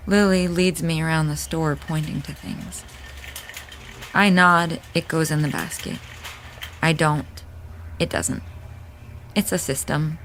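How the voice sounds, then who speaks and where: A woman playing a grieving, wry hospice nurse in a naturalistic audio drama, confiding in a halting, candid way. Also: deep voice, fairly even intonation, a bit bright, a little hiss or hum, exaggerated voice, rough recording. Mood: confiding, bitter. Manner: halting, confiding, dry-humored.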